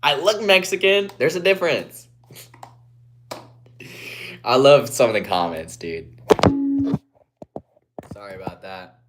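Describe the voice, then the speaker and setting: A young man from Guatemala on a casual live stream, reading comments aloud and answering in a laid-back way, often trailing off quietly, through a phone propped on a ledge. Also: medium pitch, lively intonation, slow, long pauses, very noisy. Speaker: livestreamer.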